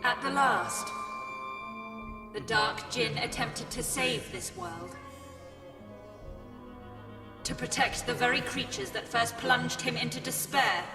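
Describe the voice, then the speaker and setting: An actress playing a cold, imperious, pitiless supernatural ruler in a dramatic fantasy game. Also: female voice, a little high, steady tone, slow pace, pauses often. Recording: echoey hall reverb, distant, music behind.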